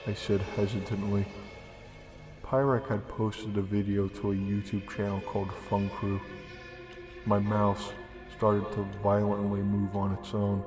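Slow, low young man in heavy reverb. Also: completely flat delivery. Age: young adult. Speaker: narrator. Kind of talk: deadpan reading of a comedic horror story. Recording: long reverb, music behind. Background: music.

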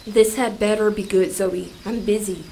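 A woman's voice: medium pitch, steady tone, normal speed, creaky, mellow, slight background noise, close to an everyday voice, rough recording. A woman playing an aloof, work-absorbed scientist in a science fiction audio drama, curt, dry and matter-of-fact. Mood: curt, preoccupied.

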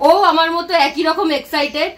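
A woman, hosting a casual travel vlog with chatty excitement, filmed at arm's length. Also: very high-pitched, a little fast. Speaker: vlogger host. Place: home room, then busy indoor cafe. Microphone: handheld camera at arm's length.

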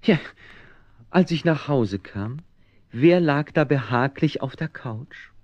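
An actor playing a resolute, caring man who narrates his own adventure in a radio play, expressive and conversational. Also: mid-range voice, slow, dry recording, dull audio. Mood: narrating. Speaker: narrator and protagonist.

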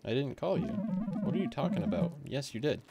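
A man's voice: mid-range voice, sing-song, brisk, creaky, loud music behind the voice, voice pushed up. A man reading the part of a troubled husband in a horror game, in a grave, uneasy manner. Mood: anxious, defensive. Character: troubled, losing-his-mind husband narrating a horror game. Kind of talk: dramatic reading of game text.

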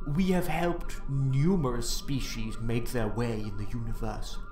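A man's voice: mid-range voice, a little slow, a little mellow, roomy sound, slight background noise, natural voice. A man voicing a polite, nervous but principled male coordinator in an audiobook, speaking in a hesitant, courteous way. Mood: uneasy, polite. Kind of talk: audiobook character voice.

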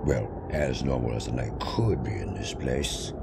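Low-voiced man narrating over loud noise. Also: measured pace, creaky. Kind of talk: scripted story narration. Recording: loud ambient noise behind voice.

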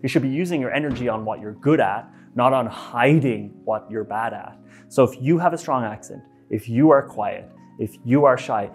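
A man in his thirties with an Irish accent mixed with American, giving a casual, self-deprecating lesson on facilitation in a bright office. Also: mid-range voice. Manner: lively, chatty, self-deprecating, with quick restarts. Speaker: facilitation trainer. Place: bright office room by a whiteboard.

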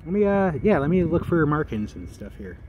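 A man's voice: fairly high pitch, very animated, fairly quick, a little mellow. Guy poking around old rail tracks talking to himself, camera mic, a bit of outdoor hiss. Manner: muttering to himself, exploring. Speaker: railfan vlogger. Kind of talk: casual trackside commentary. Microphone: handheld camera.

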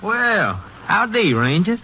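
An actor playing a naive, cheerful ranch hand with a rustic drawl in a 1950s radio western. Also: male voice, high-pitched, very animated, takes it slowly, pushed and bright, husky, exaggerated voice. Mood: cheerful, proud. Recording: muffled old radio recording.

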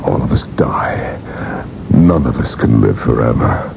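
Very deep, gravelly dying old man. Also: animated, unhurried, heavily acted. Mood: weary, resigned. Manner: weak, faltering, effortful delivery. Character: dying old industrialist, weak, desperate, yearning for immortality. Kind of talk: radio drama acting. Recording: noisy, dull old radio broadcast.

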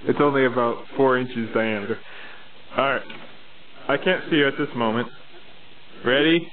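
A man's voice: mid-range voice, normal speed. A man runs a video shoot from behind the camera, giving short instructions and coaching cues. His voice is recorded thin and phone-like, as if through a small camera mic. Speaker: cameraman and director. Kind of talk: directing a shoot. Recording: tinny phone-like camera audio.

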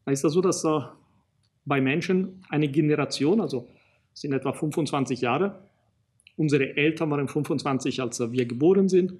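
A middle-aged man from Italy with an Italian accent, giving a public lecture as an archaeologist, pausing often with fillers and restarting sentences. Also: a little high, darkish tone, slightly rough. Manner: halting, many fillers and restarts. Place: small studio in front of a banner.

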